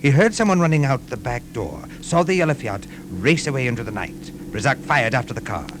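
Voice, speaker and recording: A male actor as a polite, menacing gentleman schemer from a fifties radio thriller. His middle-aged voice moves freely up and down with formal, insinuating courtesy. The old recording is clearly noisy. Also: medium pitch, animated, a little fast, lightly acted. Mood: veiled menace. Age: 50s. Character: suave, menacing middle-aged Greek businessman with veiled threats. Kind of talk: 1950s radio drama acting. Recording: noisy 1950s radio recording, vehicle hum.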